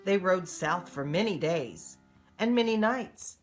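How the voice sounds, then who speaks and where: Older female storyteller, grandmother type, slightly low register, highly varied intonation, simple direct delivery for young children. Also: animated, measured pace, faint music behind. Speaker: grandmother reading aloud to grandchildren. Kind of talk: expressive children's storybook read-aloud.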